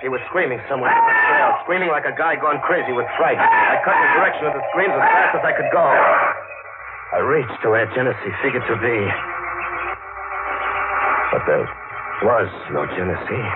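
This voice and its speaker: A man's voice: mid-range voice, wildly swooping pitch, brisk, very noisy. A man playing a hardboiled, sardonic killer who tells his story in old radio crime style. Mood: tense narration. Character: hardboiled, sardonic male killer narrating his treasure hunt. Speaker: lead actor and first-person narrator. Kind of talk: 1950s radio crime acting, hardboiled narration. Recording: muffled, narrow-band 1950 radio with music.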